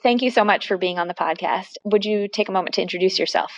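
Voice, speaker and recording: A woman hosting a podcast, reading a scripted intro and asking measured, polite questions. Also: medium pitch, no echo, dull audio, faint music behind. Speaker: podcast host.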